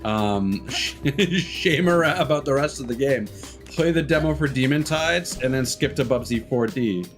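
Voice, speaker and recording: A man's voice: medium pitch, measured pace. A man doing casual live game commentary, rambling candidly and swearing offhandedly. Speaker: game streamer. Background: game music.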